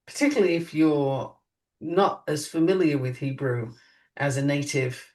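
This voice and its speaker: A woman co-hosting a podcast in casual chat, musing and lively, her pitch jumping up on emphatic questions. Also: normal speed. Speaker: podcast co-host. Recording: voice a bit distant from mic.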